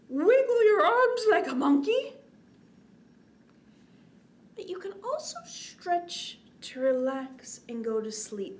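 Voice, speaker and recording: A woman in her forties hosting a children's bedtime story time, expressive, drawing out words and often dropping to a hushed, whispery tone. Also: fairly high pitch. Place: indoors, small curtained set. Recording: clear background noise.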